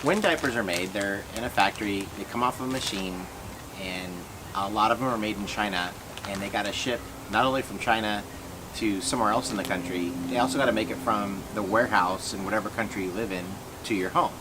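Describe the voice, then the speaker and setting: A man's voice: on the low side, fairly quick. A man explaining casually and off the cuff to camera, conversational and a little hesitant. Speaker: video reviewer giving a guest question. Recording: very noisy room with steady hum.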